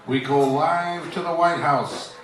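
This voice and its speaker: A man playing a showy old-time radio announcer and correspondent in a theatrical, deliberate parody style. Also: on the high side, a little hiss or hum. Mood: wry announcing. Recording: echoey, distant, music behind.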